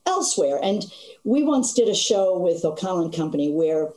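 A woman's voice: lowish voice, normal speed, reverberant, low-quality recording. An older woman, a stage actress, telling stories in an interview with laughs along the way. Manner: animated storytelling with frequent laughs. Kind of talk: conversational interview.

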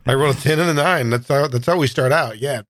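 A man's voice: mid-range voice, quick pace, a bit bright, no room sound, studio quality. A man taking part as a player in a tabletop game, casually explaining what his character does.

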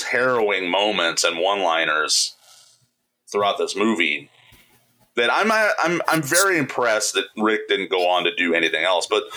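A man's voice: fairly low pitch, bright, forceful tone, poor audio. A man from Indiana co-hosting a casual movie podcast, rambling and joking, often breaking into laughter. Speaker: podcast co-host.

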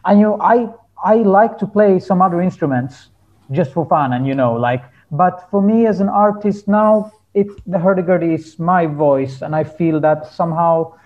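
A man's voice: high-pitched, normal speed, lo-fi sound. A man being interviewed as a musician, talking casually and searching for his words.

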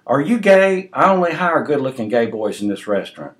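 Man acting a loud, blunt restaurant boss. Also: mid-range voice, very animated, fast. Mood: leering, blunt. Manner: hammering, blunt, shouts in one tone.

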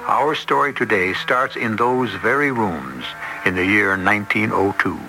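Low-voiced male radio announcer over music. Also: expressive, low-quality recording. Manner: measured, formal. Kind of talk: scripted radio drama introduction. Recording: old radio recording.

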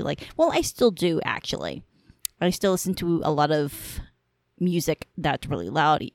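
A woman's voice: low voice, dry recording, clean studio sound. A young adult woman hosting a solo podcast, chatting candidly and rambling, coughing now and then from allergies. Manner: rambling, candid, swears casually. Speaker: podcast host. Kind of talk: casual solo podcast chat. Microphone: headphones on, home podcast mic.

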